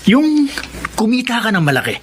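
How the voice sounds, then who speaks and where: An actor playing a smooth-talking petty crook in a Filipino radio drama, breezy and persuasive like a friendly older neighbor. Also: male voice, very high voice, sing-song, moderate pace, slight background noise. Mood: coaxing.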